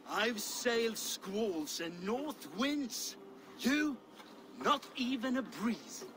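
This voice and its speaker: An actor playing a boastful, scornful Danish Viking steward, gravelly and theatrical in his taunts, in a video game cutscene. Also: very high voice, expressive, very slow, full character voice. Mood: scornful boasting. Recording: game ambience, clear background noise.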